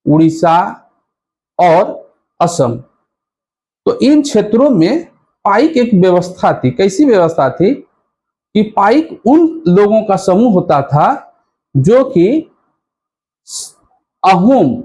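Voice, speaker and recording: A man's voice: high voice, sing-song, slow pace, pauses often, dark tone. A man teaching history in an explanatory exam-prep style, repeating and rephrasing key points as he goes.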